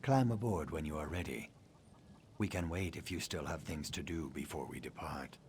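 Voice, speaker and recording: An actor playing a scholarly reptilian assassin in a video game, speaking in a measured, formal, dryly sardonic way. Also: male voice, a bass voice, darkish tone, a little hiss or hum. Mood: dryly obliging. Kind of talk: video game dialogue acting.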